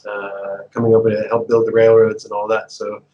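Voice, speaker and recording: A man's voice: on the low side, completely flat delivery, brisk, dull audio. A man in his fifties from the United States, a guest telling his life story in a casual interview with fillers, restarts and frequent laughter. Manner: chatty, laughs often, many fillers and restarts.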